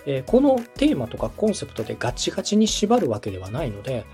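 Animated man coaching politely over music. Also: medium pitch, quick pace. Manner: polite, encouraging, explains step by step. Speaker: podcast host and production adviser. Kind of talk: explanatory coaching conversation.

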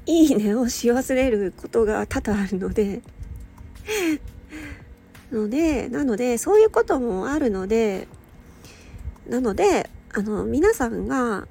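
High-pitched, tired woman chatting casually. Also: very animated, slow pace, gravelly, pauses often, slight background noise. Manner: hesitant, meandering, often giggly. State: tired, slightly slurred speech at night. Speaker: audio channel host and hobby voice performer. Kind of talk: casual solo chat to listeners. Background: soft background music or ambience.

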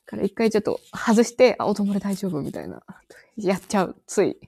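A woman's voice: medium pitch, sing-song, brisk, vocal fry, mellow, husky, dry recording, clean studio sound. A woman in her thirties chatting casually as a podcast co-host. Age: 30s. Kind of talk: casual chat.

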